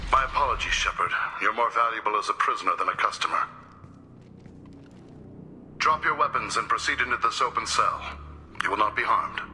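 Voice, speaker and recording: A man playing a stern, self-righteous alien prison warden in a video game, measured and authoritative. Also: fairly low pitch, a little hiss or hum. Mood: coldly menacing. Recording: very echoey cavernous hall, loud music.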